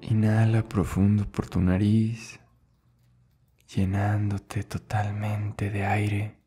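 A man leading a guided meditation as an instructor, soothing and unhurried, speaking in short phrases with long pauses between them. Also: lowish voice, monotone, mellow, soft background music. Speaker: yoga and meditation instructor, host.

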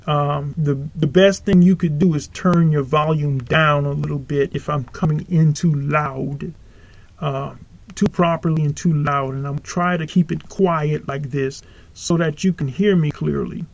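A man's voice: on the high side, steady tone, unhurried, vocal fry, a little hiss or hum. Earnest rambling male podcast preacher. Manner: rambling, self-correcting, earnest. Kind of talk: informal podcast sermon. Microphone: home podcast microphone.

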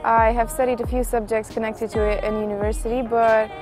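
A woman in her twenties from Bulgaria, with a Bulgarian accent and a calm, even delivery, introducing herself to camera. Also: a little high, fairly quick. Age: 20s. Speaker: model contestant. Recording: clear background music.

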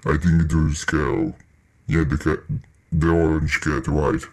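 A man playing a weary, grumbling repair worker who records a halting, sweary audio log. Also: very deep voice, completely flat delivery, heavily acted. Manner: hesitant, halting, casually sweary. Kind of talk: rambling employee audio log.

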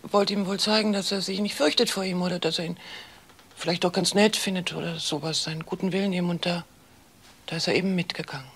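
An actor playing a hesitant witness in a crime radio play, halting and uncertain. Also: male voice, high-pitched, fairly even intonation, fairly bright tone, husky, heavily acted. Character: hesitant witness answering an investigator.

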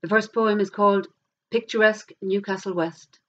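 A middle-aged woman with an Irish accent, a poet reading verse aloud in a measured, deliberate way. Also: mid-range voice, steady tone, a bit bright. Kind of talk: poetry reading. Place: plain indoor room against a bare wall. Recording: dull lo-fi camera sound.

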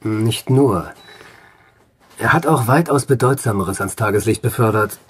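An actor playing an educated doctor who recounts a patient's case in a radio drama, formal, deliberate and precise. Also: male voice, on the low side, husky. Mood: measured, correcting. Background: music.

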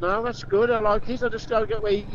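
A man's voice: sits high, level tone, quick pace. A man with an English accent chatting casually while out riding a motorbike. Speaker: motorcycle rider. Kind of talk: casual chat on a ride. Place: outdoors on the road. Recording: dull noisy outdoor motorbike audio. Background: motor vehicle and road noise.

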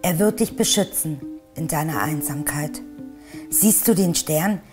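A woman reading a poem slowly and solemnly. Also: low-pitched, music in the background. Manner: slow, solemn. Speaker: victim's sister reading a poem. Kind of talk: poetry reading.

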